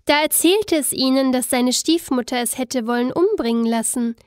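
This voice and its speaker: A woman's voice: sits high, moderate pace, no echo, clean studio sound. A woman narrating a scripted fairy tale expressively, with careful storytelling phrasing.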